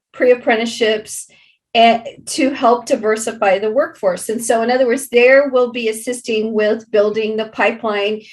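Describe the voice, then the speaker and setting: A woman giving unscripted interview answers as a guest, explaining programs in a halting, deliberate way. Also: on the high side.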